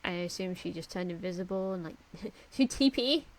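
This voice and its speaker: A woman's voice: on the low side, lively intonation, moderate pace, rough recording. A woman streamer chatting casually and laughing as she reacts to a game. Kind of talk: casual gameplay commentary.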